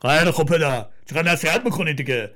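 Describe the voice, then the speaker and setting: A man's voice: on the high side, pushed and bright. A man voicing a lazy young son who talks back to his father in a whiny, impatient tone.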